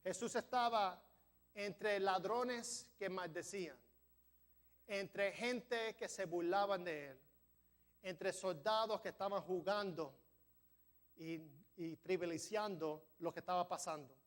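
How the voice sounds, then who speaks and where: A man's voice: sits high, slow pace. A man preaching a sermon as pastor of a church, a non-native speaker who sometimes stumbles over words and builds to emphatic peaks. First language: not Spanish. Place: church sanctuary.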